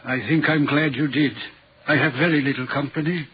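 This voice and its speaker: A lonely spooked railway signalman, highish voice, grave and hesitant, dull old 1940s radio sound. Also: fairly even intonation, a little fast, slightly rough. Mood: subdued, reserved. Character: lonely, troubled railway signalman, haunted and secretive. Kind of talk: 1940s radio drama acting.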